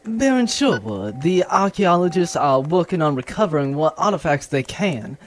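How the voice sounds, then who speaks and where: A performer playing a dutiful, dry military commander in a comedic sci-fi audio drama, with a formal, deadpan manner. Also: mid-range voice, normal speed, faint music behind, close to an everyday voice. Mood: formal, reporting.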